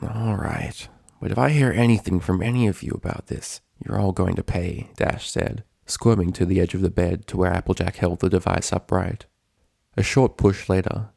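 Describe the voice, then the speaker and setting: Low, gravelly man narrating a story. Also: dark tone, dry recording, studio quality. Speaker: fan fiction reader.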